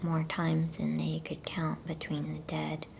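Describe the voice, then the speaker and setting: Slow, whispering woman reading a bedtime story. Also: sits low, completely flat delivery, creaky, a little hiss or hum. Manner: hushed, soothing, unhurried whisper. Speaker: ASMR storyteller reading a children's novel aloud.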